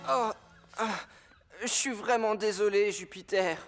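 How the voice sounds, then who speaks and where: A man's voice: sits high, measured pace. A young cartoon firefighter who is clumsy and tender. He speaks hesitantly, in an anxious, apologetic way. Music runs underneath. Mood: worried, protective. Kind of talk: French-language cartoon dub acting.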